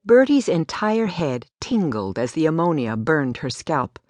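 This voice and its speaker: Woman, low pitch, scripted storytelling, audiobook narrator, dry studio sound. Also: sing-song, measured pace, a little mellow, no echo.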